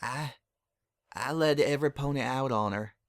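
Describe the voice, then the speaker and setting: Man as a stubborn young country pony mare, higher-pitched, tearful, storybook drama, dry recording. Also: normal speed, vocal fry, dry recording, natural voice. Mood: shaken, tearful.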